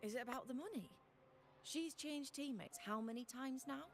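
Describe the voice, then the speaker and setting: A woman's voice: sits high, moderate pace, faint music behind. A woman voicing a disapproving, gossipy female spectator in a video game.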